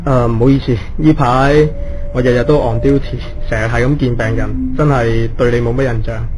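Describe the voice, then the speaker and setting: An actor playing a tired, easily rattled emergency doctor in a ghost-story radio drama. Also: male voice, mid-range voice, fairly even intonation, moderate pace, darkish tone, a little hiss or hum, natural voice, rough recording. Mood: puzzled. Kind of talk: Cantonese radio ghost drama, narration and dialogue.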